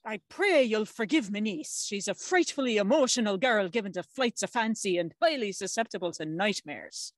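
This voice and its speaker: An actress playing a secretive housekeeper aunt in an audio drama, stern and dismissive yet anxiously deferential. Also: female voice, medium pitch, animated, moderate pace, hardly any pauses, no room sound, natural voice. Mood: anxious deference.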